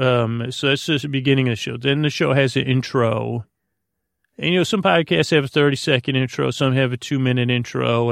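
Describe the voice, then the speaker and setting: A man with a creaky voice hosting a bedtime podcast in a soothing, lulling, rambling monologue, halting, stammering and repeating himself as he goes. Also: medium pitch, no room sound, studio quality. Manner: meandering, halting, repetitive, stammering. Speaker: podcast host.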